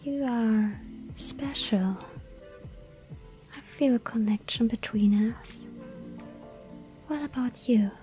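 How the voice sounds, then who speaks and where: A woman voicing a lonely yandere vampire girl in an ASMR roleplay, whispering softly and pleading. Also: on the high side, takes it slowly, pauses often, faint music behind, low-quality recording. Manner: breathy soft whisper, pleading.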